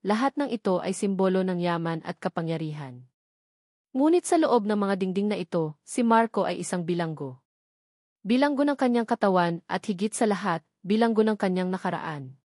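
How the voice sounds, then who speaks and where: A woman reading a romantic drama aloud as its narrator, earnest and measured. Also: medium pitch, a little mellow, no room sound, clean studio sound. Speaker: storyteller.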